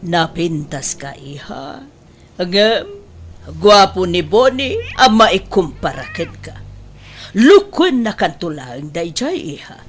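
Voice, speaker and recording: A woman's voice: on the low side, very animated, slow, slight background noise. A woman acting a domineering middle-aged mother who coaxes and insists, in an emotive audio drama. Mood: coaxing, insistent.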